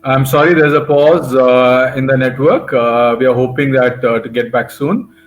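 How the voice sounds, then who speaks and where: A man interviewing a guest over a video call, halting and full of fillers. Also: mid-range voice, slight background noise. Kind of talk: casual interview.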